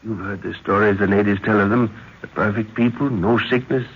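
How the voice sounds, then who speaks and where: A man's voice: lowish voice, steady tone, a little fast, breathy edge. An actor playing an older professor and explorer, fatherly and earnest, speaking formally in an old-time radio drama. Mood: fatherly, intent. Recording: dull vintage radio recording.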